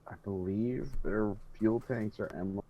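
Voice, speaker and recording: A man's voice: on the low side, a little slow, dark tone, no echo. A man co-hosting a podcast in casual chat, recorded with dull lo-fi audio. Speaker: podcast co-host.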